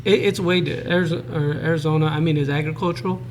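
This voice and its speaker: A man's voice: on the high side, steady tone, fast, slight background noise, rough recording. Man from Idaho, short plain answers. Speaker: restaurant owner. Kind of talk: interview answers. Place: restaurant.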